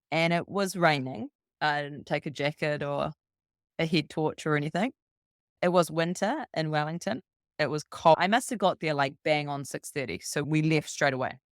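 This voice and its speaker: A New Zealand woman tells a rambling, quick-moving story and keeps slipping into what people said to her. Her voice is smooth and clean, recorded close and dry with no room around it. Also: deep voice, no room sound. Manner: brisk, rambling anecdote full of quoted dialogue. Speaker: podcast guest. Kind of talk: casual storytelling.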